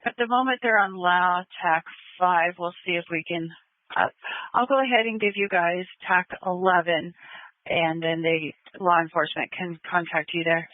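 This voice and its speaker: A woman's voice: on the low side, normal speed, no room sound. A woman working as an emergency dispatcher, giving procedural radio instructions and repeating details back over a two-way radio. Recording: narrow, phone-like scanner radio audio.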